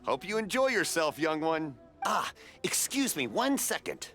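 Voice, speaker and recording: A woman's voice: low voice, sing-song, measured pace, fairly bright tone, soft background music, heavily acted. A woman voicing a hearty, talkative, friendly older townsperson in a video game. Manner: hearty, effusive.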